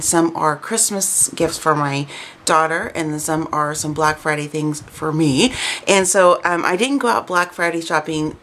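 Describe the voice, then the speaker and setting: A woman in her thirties or forties, hoarse and stuffy with a cold, chatting casually and rambling about crafts at home. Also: low-pitched, a bit bright. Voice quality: raspy. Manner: chatty, rambling, lots of "um" fillers. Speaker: crafting vlogger. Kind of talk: casual chat to camera.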